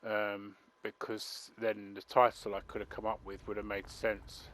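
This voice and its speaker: A man's voice: on the low side, completely flat delivery, measured pace, a bit bright. A man commenting on his video game playthrough, rambling and chatty. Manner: rambling and chatty, many fillers. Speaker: gaming channel host. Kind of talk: let's play commentary.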